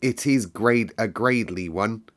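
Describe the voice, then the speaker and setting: A man's voice: fairly low pitch, measured pace, lightly acted. A man voicing a joyful, admiring young girl in a storybook, keen and exclaiming.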